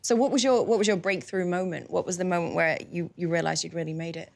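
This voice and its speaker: A woman hosting a sports interview, asking brisk, prompting questions. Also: fairly low pitch, fairly even intonation, creaky, a little mellow. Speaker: host.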